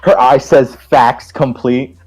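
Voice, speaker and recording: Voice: mid-range voice, fairly even intonation, slow, a little mellow. A person reading a visual novel aloud in a casual, playful way and joking along as a co-player. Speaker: co-player and narrator in a group playthrough. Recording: clearly noisy mic with clicks.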